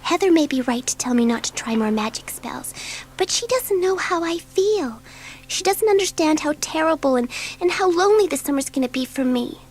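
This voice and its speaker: Excitable, high-voiced schoolgirl. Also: moderate pace. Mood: lonely, defiant. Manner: breathless, eager, self-dramatising. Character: bored, impulsive, excitable schoolgirl dabbling in magic. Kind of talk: dramatised children's audiobook.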